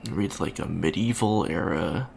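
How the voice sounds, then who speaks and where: A man playing an awkward, bookish young alien college student in an ASMR roleplay, talking softly and hesitantly with many ums and stammers. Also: low voice, moderate pace, vocal fry, slight background noise. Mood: bookish, rambling. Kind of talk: soft-spoken ASMR roleplay.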